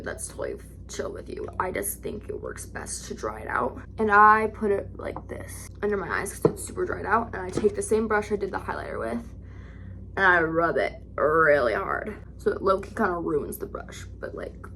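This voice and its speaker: A young woman with a raspy voice, chatting casually through a makeup tutorial, rambling and correcting herself as she goes. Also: on the low side, sing-song, normal speed, a bit bright, slight background noise. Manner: rambling, self-correcting, lots of "like". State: raspy today. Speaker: vlogger.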